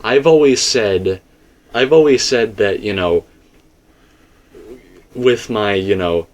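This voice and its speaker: Low-voiced man in rambling, emphatic discussion. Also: slow pace. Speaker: video game theorist and host. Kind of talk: casual speculative discussion.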